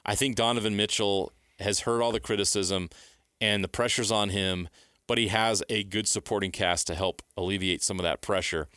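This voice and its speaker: An American man with a low, forceful voice, recorded dry and close. He talks sports like a radio host, laying out firm opinions in short declarative statements. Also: fairly even intonation, normal speed, smooth, dry recording. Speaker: sports talk show host. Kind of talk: sports talk, playoff predictions.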